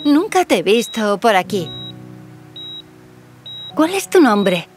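A woman voicing a caring, wry young princess surgeon in a cartoon fairy tale, gently teasing and professional. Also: medium pitch, very animated, takes it slowly, soft background music. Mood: calm, professional.

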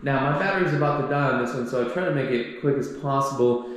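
A man's voice: medium pitch, fast. A man giving a casual walkthrough commentary as a real estate agent, recorded on a handheld camera in empty rooms. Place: empty condo rooms and outdoor walkway. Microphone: handheld action camera. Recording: echoey empty rooms, some background noise.

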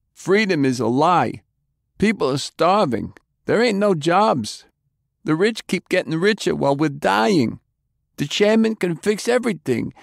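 Man reading captive henchman, raised shrill voice, desperate and zealous, dry recording. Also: vocal fry, dry recording, exaggerated voice. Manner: whiny, desperate, fervent. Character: frightened, fanatical male henchman prisoner.

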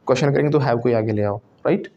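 A man's voice: mid-range voice, fast talker, warm tone, lo-fi sound, a little hiss or hum. A man with an Indian accent teaching a spoken English class, prompting students to repeat phrases. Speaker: English trainer.

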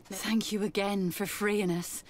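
An actress playing a shaken, grateful sailor freed from captivity in a video game. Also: female voice, mid-range voice, flat delivery, measured pace, close to an everyday voice. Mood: shaken, grave.

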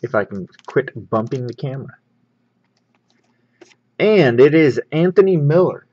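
A man's voice: mid-range voice, expressive, unhurried, dark tone, slightly rough. Man, casual host, slightly dull audio. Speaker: host of a sports card pack-opening show. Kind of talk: casual hands-on unboxing commentary.

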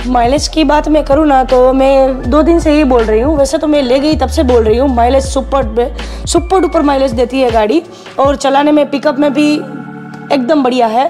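A woman's voice: high voice, steady tone, moderate pace, warm tone, faint music behind. A woman in her thirties, a customer giving a testimonial in casual interview answers. She praises enthusiastically and repeats herself, with hesitant fillers, on a lapel mic in an indoor shop office.

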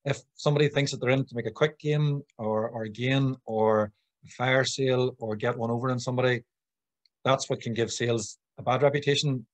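A man's voice: mid-range voice, steady tone, a little fast, dry recording. A man in his forties with a Northern Irish accent, presenting conversationally and a little hesitantly as a guest speaker on a video call. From Northern Ireland. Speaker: guest speaker, sales consultant. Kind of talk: informal business presentation. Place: room at home or small office, indoors. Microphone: computer mic on video call.

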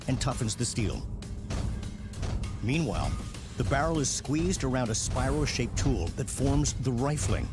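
Male documentary narrator over prominent music. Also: mid-range voice. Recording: music behind the voice. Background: background music.

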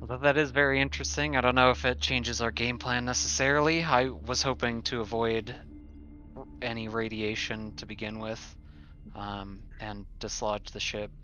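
A man's voice: medium pitch, flat delivery, normal speed, bright, forceful tone. A man playing a tabletop roleplaying game, talking casually and hesitantly as he thinks aloud, on a muffled recording. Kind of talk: casual in-character game chat.

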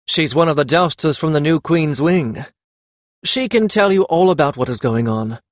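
A man's voice: a little high, lively intonation, normal speed, no echo, pitched above the performer's own voice, natural voice. A man voicing an earnest young lord of dragon riders who speaks in a firm, formal manner. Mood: hurried, earnest.

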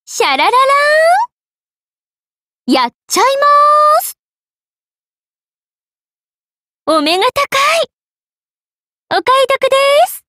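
High-pitched, playful merchant sorceress. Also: female voice, sing-song, unhurried, long pauses, bright, forceful tone, a little hiss or hum, exaggerated voice. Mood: spirited. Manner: teasing, polite salesgirl lilt. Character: playful, money-loving half-spirit merchant sorceress, young woman. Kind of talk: Japanese anime game character voice acting.

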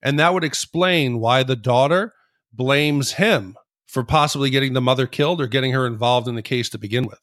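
A man's voice: medium pitch, a little fast, a bit bright, dry recording, studio quality. A man hosting a TV recap podcast, talking in an explanatory way as he discusses a show. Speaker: podcast host.

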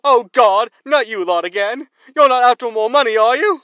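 An actor playing a brash, excitable tabloid radio announcer in a science fiction audio sitcom, with a loud, high voice. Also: male voice, moderate pace, dry recording, muffled recording. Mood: startled, wary.

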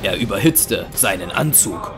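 A man's voice: on the low side, animated, a little slow, over loud music. A man reading scripted narration for an audio drama.

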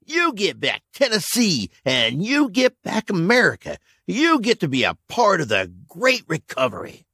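A man voicing a smooth-talking male official who speaks slowly, calmly and grandly. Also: sits high, no room sound, studio quality, pitched above the performer's own voice, heavily acted. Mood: grandiose. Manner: slow, calm, speechifying.

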